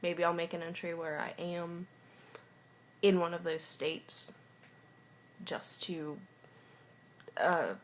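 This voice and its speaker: A young woman recording a personal video diary, talking frankly in a halting, hesitant way and sometimes dropping to a whisper. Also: lowish voice, flat delivery, slight background noise. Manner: frank and confessional.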